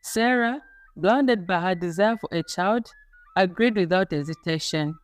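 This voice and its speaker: A woman reading a scripted folktale as a storyteller, in a measured, dramatic storybook delivery. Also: lowish voice, no room sound. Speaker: storyteller, narrator. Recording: music playing under the voice. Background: background music.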